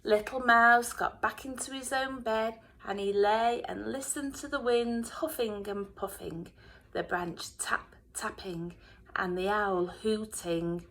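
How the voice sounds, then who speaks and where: A woman reading a children's storybook aloud in a dramatic way, often dropping into hushed whispers. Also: mid-range voice, fairly even intonation, slow pace. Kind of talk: expressive children's storybook narration.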